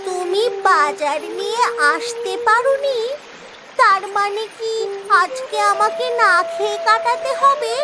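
A woman's voice: extremely high pitch, slow pace. A woman voicing a hungry, sulky little village girl in a cartoon, whiny and pleading. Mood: sulky, upset. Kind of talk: Bengali cartoon voice acting. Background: music.

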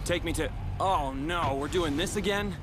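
A man's voice: a little high, normal speed, lightly acted. A man playing an easygoing, flirtatious undercover operative in a racing game, wry and teasing. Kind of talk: naturalistic video game acting. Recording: loud car engine noise behind.

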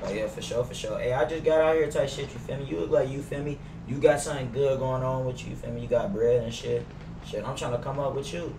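A man's voice: medium pitch, fairly even intonation, quick pace, gravelly. Young American man, noisy echoey stream. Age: young adult. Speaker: game streamer roleplaying in a crime game. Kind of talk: casual slangy chat while gaming. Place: room at home, indoors. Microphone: gaming headset. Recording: noisy, echoey, slightly distant game stream. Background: game car and ambience noise.

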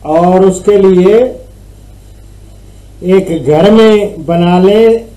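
A man's voice: high-pitched, extremely slow, mellow, low-quality recording. A middle-aged man from Pakistan giving farm advice to callers in a friendly, joking phone-in chat, recorded on a webcam in a small room. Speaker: agricultural advisor answering callers.

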